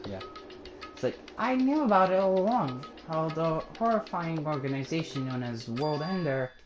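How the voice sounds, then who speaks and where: A woman's voice: low voice, expressive, normal speed. A woman streamer reading game dialogue aloud and bantering with friends, exasperated and swearing often. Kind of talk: casual livestream game read-along. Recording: game music playing behind.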